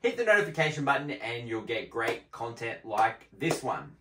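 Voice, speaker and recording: A man's voice: medium pitch, very animated, moderate pace, a bit bright, slight background noise. A man hosting a movie review show, presenting in a casual, conversational style. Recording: echoey room.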